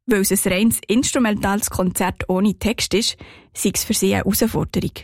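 A woman with a Swiss German accent narrating a scripted radio report in a calm, clear storytelling manner. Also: medium pitch, normal speed, no room sound, clean studio sound.

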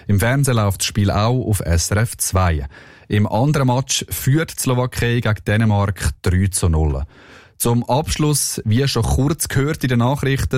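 A man's voice: fairly low pitch, a little mellow, dry recording, clean studio sound. A man with a Swiss German accent presenting sports news on the radio.

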